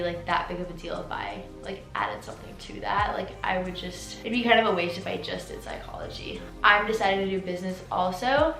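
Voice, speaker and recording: A chatty eighteen-year-old woman talks through viewer questions in a loose, filler-heavy way, her throat a little sore. Her voice carries a noticeable echo off the room, and upbeat music plays clearly underneath. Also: on the low side, a bit bright. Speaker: vlogger. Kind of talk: casual Q&A vlog. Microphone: camera mic.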